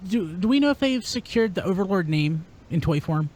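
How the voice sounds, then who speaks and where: A man's voice: high-pitched, animated, fairly quick, darkish tone, no echo, rough recording. A man hosting a casual panel chat about toy news in an upbeat, enthusiastic manner. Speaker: podcast host.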